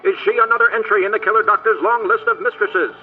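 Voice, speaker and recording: An actor playing a sensational 1950s radio newscaster, breathless and dramatic, through an old radio filter. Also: male voice, sits high, fairly even intonation, fast talker, slightly rough. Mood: urgent, scandalized. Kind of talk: old-time radio news bulletin. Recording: tinny, band-limited vintage radio sound over music.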